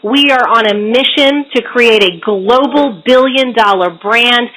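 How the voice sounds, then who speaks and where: A woman's voice: a little high, unhurried, fairly bright tone, rough recording. A woman marketing executive hosting a conference call, enthusiastically introducing the founder with frequent filler words.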